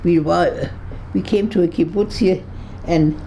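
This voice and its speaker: A woman over one hundred, from Berlin, recalling her past in an interview, halting and searching for words with frequent restarts, and laughing easily with dry humor. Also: lowish voice, warm tone, a little hiss or hum. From Berlin, Germany. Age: 100s. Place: her home on a kibbutz.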